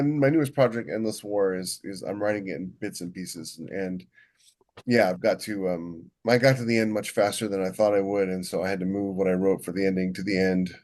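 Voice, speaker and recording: A man's voice: sits low, a little mellow. A man talking casually as a podcast co-host, rambling and often doubling back on his words as he explains his writing. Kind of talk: casual shop talk about writing.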